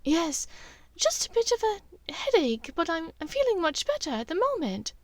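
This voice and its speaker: A woman's voice: very high voice, big pitch swings, normal speed, pitched above the performer's own voice. A woman voicing a skittish young man posing as his genteel mother in a storybook, airy and forcedly polite. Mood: strained, polite.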